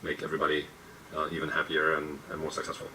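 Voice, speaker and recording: Man, executive interviewee, fast, bright tone, hesitant fillers, distant mic. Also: extremely low pitch, completely flat delivery, slight background noise. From Czechoslovakia. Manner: hesitant, filler-heavy, reassuring. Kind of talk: corporate interview answers. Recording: distant mic with faint ambience.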